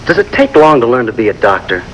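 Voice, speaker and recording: Eager backwoods lad, quick and bouncy in pitch, earnest, vintage TV drama. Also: male voice, sing-song, fairly bright tone. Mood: curious. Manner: folksy, earnest, questioning. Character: curious, eager country boy. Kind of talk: 1950s American television drama acting. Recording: faintly noisy vintage television soundtrack.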